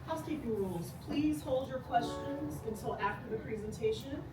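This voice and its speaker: Woman moderator, reads rules plainly; distant mic, loud room noise. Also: a little high, normal speed, roomy sound. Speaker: meeting moderator. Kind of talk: reading meeting rules. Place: public meeting hall.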